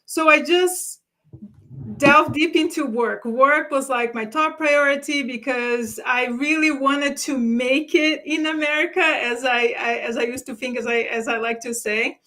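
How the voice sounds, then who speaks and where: A woman from Brazil speaks as a guest on a career interview. Her voice is high, and she tells her story earnestly and reflectively, restarting her sentences as she goes. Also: unhurried, sharp tone. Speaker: guest expert, career strategist. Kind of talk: conversational interview answers.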